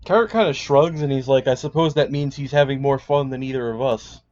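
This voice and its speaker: A man's voice: medium pitch, fairly quick, darkish tone, lo-fi sound. A man playing a tabletop roleplaying game, switching between casual table talk and in-character speech.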